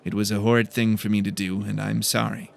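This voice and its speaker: A man's voice: on the low side, level tone, brisk, creaky. A man plays a young male student in a fantasy audiobook, earnest and a little wry. He speaks plainly, with a self-deprecating manner. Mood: awkward, apologetic. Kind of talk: audiobook character dialogue.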